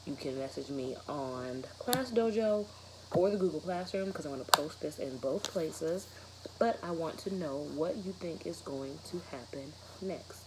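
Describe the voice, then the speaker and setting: A woman's voice: low voice, expressive, measured pace, background noise. A woman in her thirties, a teacher reading a children's novel aloud and putting questions to students. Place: bedroom, indoors, sitting in bed.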